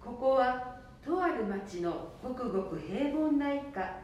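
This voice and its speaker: A woman's voice: animated, unhurried, a little mellow, lo-fi sound. A woman reading scripted storytelling narration for a radio drama. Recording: echoey room, faint rumble.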